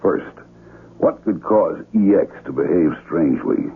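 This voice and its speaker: Very deep, gravelly, calculating spymaster. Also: male voice, animated, phone-quality sound, full character voice. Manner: deliberate, authoritative, analytical. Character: cold, calculating older male spymaster giving orders. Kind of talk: 1970s radio drama acting. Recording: thin, band-limited vintage radio recording.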